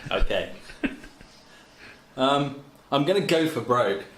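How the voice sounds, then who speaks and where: A man's voice: medium pitch, animated, slow, a bit bright. A man talking casually as a player in a tabletop role-playing game. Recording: echoey room with faint noise.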